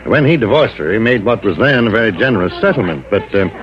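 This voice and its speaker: A man's voice: fairly low pitch, animated, quick pace, lightly acted. An American man playing a cautious, fussy insurance executive in an old-time radio drama, precise and formal but often hesitant and hedging. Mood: guarded. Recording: dull vintage radio recording.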